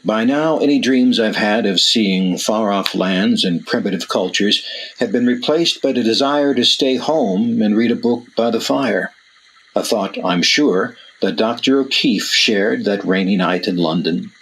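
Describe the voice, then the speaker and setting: Guy doing a formal weary narrator for an old adventure radio play, fairly deep, ominous storytelling. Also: measured pace, a bit bright, a little hiss or hum, poor audio. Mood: weary, foreboding. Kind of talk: scripted dramatic first-person narration.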